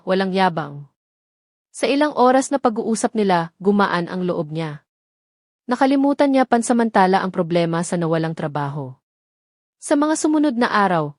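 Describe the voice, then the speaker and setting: A woman's voice: medium pitch, a little mellow, dry recording, studio quality. A woman with a Filipino accent reading a scripted story as its narrator. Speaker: narrator of audio drama stories.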